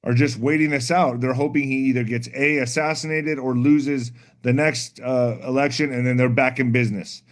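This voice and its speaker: A man's voice: mid-range voice, steady tone, moderate pace, hardly any pauses. A man from the El Paso and Mexico border area, talking politics in a blunt, pessimistic way. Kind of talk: casual political chat.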